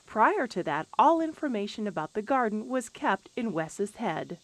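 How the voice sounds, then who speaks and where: A woman's voice: medium pitch, sing-song, moderate pace, no room sound, low-quality recording. A woman reading a scripted documentary narration as the voice of a program.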